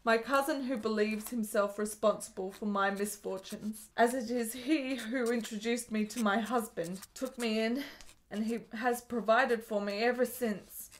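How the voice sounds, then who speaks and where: A woman's voice: a little high, fairly even intonation, measured pace, close to an everyday voice, poor audio. An actress playing a frail, lovesick, melancholy woman in a period radio drama, speaking in earnest, melodramatic, formal phrases. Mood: sorrowful, confessional.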